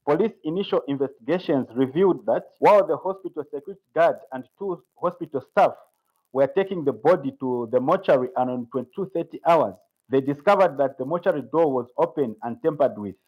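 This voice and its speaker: A man's voice: fairly high pitch, fairly quick. A man with a Zambian accent reading out a formal prepared statement as a police spokesman. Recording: dull lo-fi voice clip.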